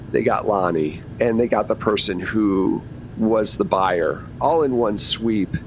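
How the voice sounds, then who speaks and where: Male game master, mid-pitched and conversational. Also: a little hiss or hum, poor audio. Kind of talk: conversational tabletop game narration.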